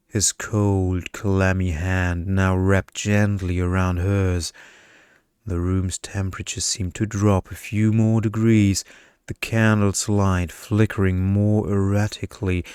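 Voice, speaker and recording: Male ASMR narrator, low smooth voice, unhurried, hushed seductive delivery, dry close recording. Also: no echo. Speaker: story narrator. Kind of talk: ASMR bedtime story narration, scripted.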